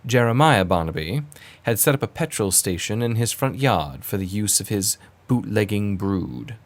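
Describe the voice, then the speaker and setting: A genteel gentleman adventurer with a fairly low voice. He recounts his exploits in a period audio drama, with formal, literary phrasing and a measured delivery. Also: lively intonation. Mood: measured, grave. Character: male first-person narrator, genteel adventurer recounting a kidnapping case. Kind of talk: scripted period-story narration.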